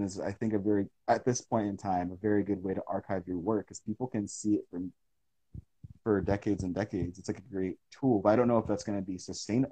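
Low, dry-voiced young man musing in a live chat. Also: mellow, husky, dry recording, low-quality recording. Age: young adult. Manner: thoughtful, rambling with many fillers and restarts. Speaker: artist in conversation. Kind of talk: casual live-stream chat. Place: indoors at home. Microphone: phone close to face on a video call.

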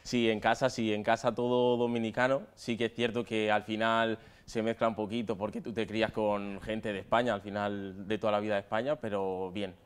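Young male footballer, twenty, Madrid accent, smooth voice with fairly flat intonation, chatting in an interview. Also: lowish voice, a little fast, few pauses. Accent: Madrid Spanish. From Spain, Madrid area. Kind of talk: casual interview answers. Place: stadium dugout with plastic seats.

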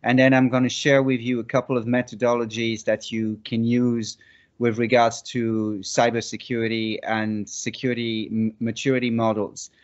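A man's voice: mid-range voice, level tone, moderate pace. French man presenting over a video call. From France. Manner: hesitant, many restarts and fillers. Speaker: conference speaker. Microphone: video call laptop mic. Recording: dull lo-fi video call audio.

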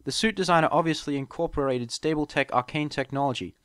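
An eager young mare adventurer played by a man in an audiobook, lifted above his own low register. She sounds earnest, casual and slightly awkward, recorded dry. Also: quick pace, fairly bright tone, no room sound, natural voice. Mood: eager, confident. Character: Little Pip, young female pony adventurer, eager, awkward. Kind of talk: audiobook character voice.

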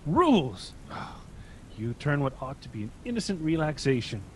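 A man acts out a grumbling older father in a radio drama. The voice is fairly high and very animated, querulous and blustery, with faint rain behind it. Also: moderate pace, a little hiss or hum. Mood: playful, grudging.

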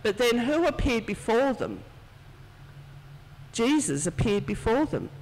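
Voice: mid-range voice, sing-song, unhurried, a little hiss or hum. A speaker giving a children's talk in church, chatty and conversational as they tell a story. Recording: distant, reverberant church hall sound.

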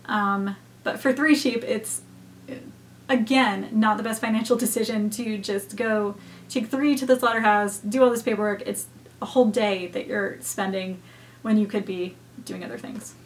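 Brief: young woman, home reaction host, hesitant reflective chat, mid pitch, webcam at desk. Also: steady tone, moderate pace. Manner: thoughtful, hesitant, many fillers. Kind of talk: casual reaction commentary. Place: home room with bookshelves.

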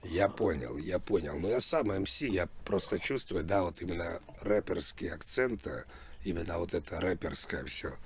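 Low-voiced husky man on a call. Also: moderate pace, a bit bright. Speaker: prank caller posing as a rap label producer. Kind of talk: casual phone talk.

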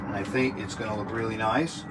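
A man's voice: on the low side, steady tone, fairly quick, noisy background. A man in his fifties, chatting casually about boat repairs while filming himself on a phone in a small boat cabin. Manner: explains step by step, chatty. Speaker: sailing vlogger. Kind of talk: casual vlog narration. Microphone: phone held at arm's length.

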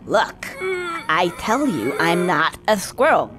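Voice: mid-range voice, big pitch swings, takes it slowly, noisy background. An actor voicing a sly, wisecracking squirrel in a cartoon video game, with sarcastic, exaggerated delivery. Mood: sly bluffing. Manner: sarcastic, bluffing, exaggerated intonation.

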